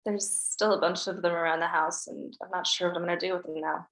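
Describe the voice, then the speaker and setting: A woman reading a grieving teenage girl at a table read, soft and subdued. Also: fairly low pitch, steady tone, fast talker, roomy sound, low-quality recording. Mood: subdued grief.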